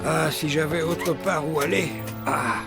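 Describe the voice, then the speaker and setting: A man's voice: a little high, steady tone, a little slow, creaky, over music. A man voicing a grumbling but kind old hunchback in a French cartoon, with weary, slangy talk.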